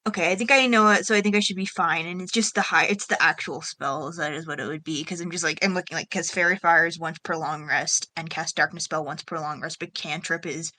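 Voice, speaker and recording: A woman's voice: low voice, fairly even intonation, a little fast, few pauses, pushed and bright. A woman playing a tabletop game, chatting casually and rambling as she reasons out the rules aloud. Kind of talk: casual chat.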